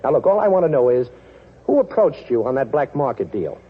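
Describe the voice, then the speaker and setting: A man's voice: very animated, fairly quick, slightly rough. An actor playing a cool, wisecracking male amateur sleuth in a 1940s radio drama, breezy and self-assured. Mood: firm, guarded. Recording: muffled old radio recording, faint noise. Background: vehicle rumble.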